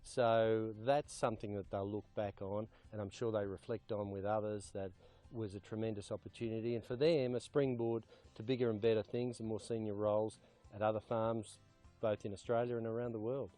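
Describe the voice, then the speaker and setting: A man on the staff of a horse stud, explaining the trainee program in a measured way with some fillers. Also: lowish voice, level tone, mellow. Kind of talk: interview answers. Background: background music.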